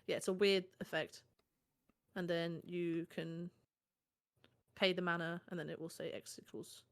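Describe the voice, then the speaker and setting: A woman's voice: lowish voice, steady tone, measured pace. German woman chatting casually over gameplay. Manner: chatty, agreeable, frequent "yeah, exactly" affirmations. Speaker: guest co-streamer.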